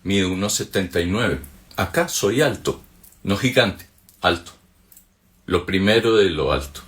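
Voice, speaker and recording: A Colombian man with a very low voice walks through a spiritual text in an easy conversational way. He keeps checking in with short rhetorical questions as he explains. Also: expressive, a little slow, a bit bright. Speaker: spiritual teacher. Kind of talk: reflective spoken commentary on a text.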